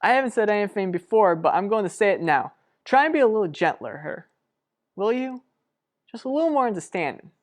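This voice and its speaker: An actress playing an earnest, protective sister in a radio soap drama, speaking deliberately and persuasively. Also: female voice, medium pitch, big pitch swings, natural voice. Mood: pleading. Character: earnest, protective sister pleading for a troubled couple. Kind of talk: revived vintage radio soap drama acting.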